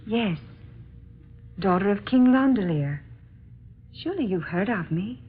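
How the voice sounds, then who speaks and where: A woman's voice: mid-range voice, expressive, a little slow, slightly rough. A woman playing a gracious, earnest young princess in a radio drama, speaking with formal, courtly diction. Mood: gracious, curious. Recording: muffled, noisy old-time radio recording.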